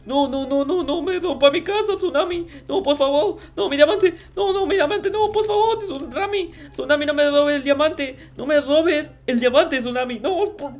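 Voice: high voice, normal speed, few pauses. A performer playing a naive, stubborn, suspicious little kid in an improvised Minecraft roleplay, whiny and stammering, repeating words. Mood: panicked, pleading. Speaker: gaming video host. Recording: music under the voice. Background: music.

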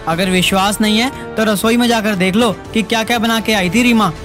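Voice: medium pitch, fairly even intonation, normal speed, over music, lightly acted. An actor voicing an earnest, emphatic young husband and protective brother in a cartoon. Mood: firm.

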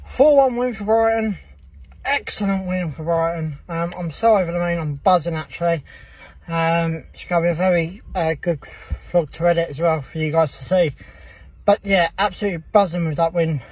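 A man's voice: fairly high pitch, moderate pace, a little mellow, slightly rough, no echo, distant mic. A young man, talking to his phone at arm's length in a parked car after a football match, rambling happily with lots of ums. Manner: gushing, rambling, many "um"s. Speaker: football fan vlogger. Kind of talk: excited casual vlog chat. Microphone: phone held at arm's length.

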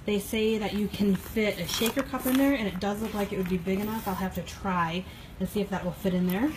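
A woman's voice: mid-range voice, steady tone, brisk. A woman giving a casual, matter-of-fact product review in her kitchen, talking off camera into her phone with frequent fillers. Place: home kitchen, indoors. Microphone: phone camera held by the speaker, off-screen. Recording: phone video with audible background noise.

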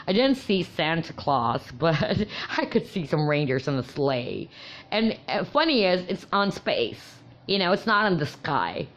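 Woman, low voice, Tagalog native, laughs a lot, casual rambling, dull lo-fi sound. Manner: chatty, laughing often, many fillers and restarts. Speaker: crystal vlogger. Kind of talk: casual personal storytelling.

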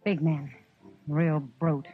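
A woman's voice: deep voice, little pitch movement, takes it slowly, slightly rough, full character voice. Frontier saloon woman, American, direct and caring, phone-thin vintage radio drama sound. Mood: concerned. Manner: direct, concerned. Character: concerned, plainspoken saloon woman of the Old West. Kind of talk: 1950s radio western acting. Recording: tinny old radio recording, faint music.

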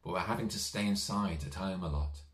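A middle-aged man reading and talking to children in a gentle, reassuring, expressive way. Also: low-pitched, fairly quick. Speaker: storyteller. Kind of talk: children's story reading, direct address to young listeners. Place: small child's bedroom, indoors. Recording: echoey domestic room.

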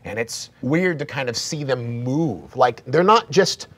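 A man, narrating a tabletop horror game as game master in a halting, dramatic, descriptive way. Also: medium pitch, animated, a bit bright. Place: indoor studio set.

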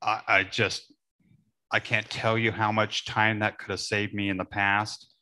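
A man's voice: lowish voice, flat delivery, a little slow, pushed and bright. A middle-aged man chatting casually on a panel as an airgun shooter. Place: panel table with several people at an expo.

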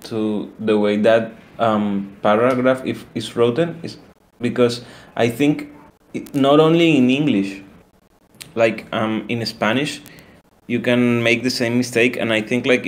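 A man on a video call joins a book discussion. He talks slowly and haltingly, often repeating words before getting his point out. His voice is mid-pitched. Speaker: book club participant.

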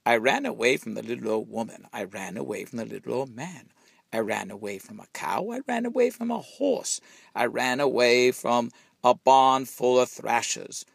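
A man's voice: mid-range voice, expressive, moderate pace, vocal fry, husky, dry recording, studio quality, full character voice. A man voicing a cheeky, boastful little gingerbread boy in a storybook, chanting taunts in a sing-song way. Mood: boastful, taunting.

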